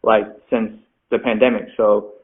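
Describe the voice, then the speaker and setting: A man's voice: fairly low pitch, flat delivery, measured pace. A man who is a rapper as a guest, chatting casually and filling his talk with "like," with little laughs. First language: Mandarin. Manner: chatty, says "like" constantly, self-deprecating laughs. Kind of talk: casual interview chat. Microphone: video call. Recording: phone-like video call audio.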